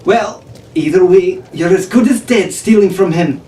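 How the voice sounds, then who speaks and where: A man's voice: high-pitched, moderate pace, slight background noise, pitched above the performer's own voice, lightly acted. A man reading a story, voicing a scolding young tavern maid girl, heard in an echoey room from a distance. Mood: exasperated. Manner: sharp, scolding.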